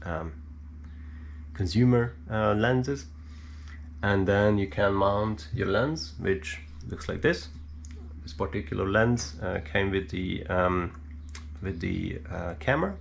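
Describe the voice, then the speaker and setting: A man giving an unscripted hands-on technical explanation in a hesitant, halting way, thinking aloud with many pauses. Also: fairly low pitch, gravelly, a little hiss or hum. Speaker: hobbyist presenter showing his equipment. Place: indoor room at a cluttered worktable.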